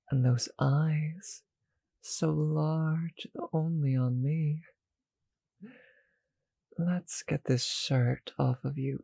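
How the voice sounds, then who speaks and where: A performer plays a doting, possessive vampire in an intimate audio roleplay. The voice is mellow and unhurried, with a creaky, breathy purr. It teases softly and sounds very close and dry. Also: mid-range voice, no echo, exaggerated voice. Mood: seductive, hungry. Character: seductive, doting vampire with a teasing, possessive streak.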